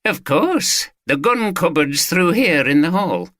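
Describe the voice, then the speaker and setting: A man's voice: fairly high pitch, animated, slow, bright, forceful tone, no room sound, studio quality, voice pushed up, exaggerated voice. A man voicing a friendly middle-aged gun collector, chatty and proud. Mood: eager.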